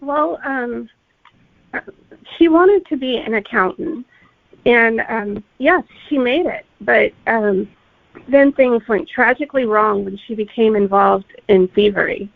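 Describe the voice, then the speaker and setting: A woman's voice: fairly high pitch, takes it slowly, gravelly, no echo. A woman answering interview questions as a guest author. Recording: narrow phone-like audio.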